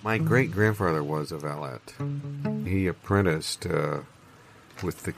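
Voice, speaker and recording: An American man playing a folksy old-timer who rambles through absurd memories in a drawling deadpan, in improvised audio comedy. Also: low voice, measured pace, gravelly, music in the background. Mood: rambling, earnest.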